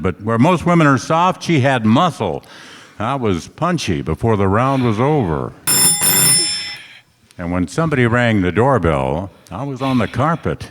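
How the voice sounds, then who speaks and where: A man's voice: mid-range voice, natural voice. A man playing a tough, wry private detective who narrates in 1940s radio style. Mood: wry narrating. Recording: echoey stage hall.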